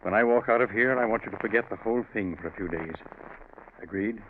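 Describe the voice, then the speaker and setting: An actor playing a grave, secretive, middle-aged bank president, formal and deliberate with some hesitation, in 1940s radio drama style. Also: male voice, fairly low pitch, close to an everyday voice. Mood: firm, businesslike. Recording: noisy, narrow-band 1940s radio recording.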